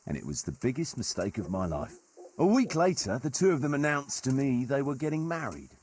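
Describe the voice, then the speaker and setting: Wry medieval mercenary leader for a game: husky, animated storyteller, very dry recording. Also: male voice, mid-range voice, no echo. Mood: bitter, reflective. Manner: colloquial, archaic turns of phrase, wry. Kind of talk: dramatic storytelling in a video game cutscene.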